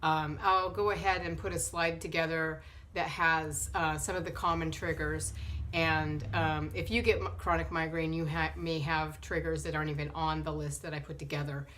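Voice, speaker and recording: A woman's voice: deep voice, little pitch movement, moderate pace, a bit bright, a little hiss or hum. Woman with a stuffy, nasal cold voice. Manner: hesitant, many fillers and restarts. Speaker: vlogger. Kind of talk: casual talk to camera. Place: sunny living room indoors.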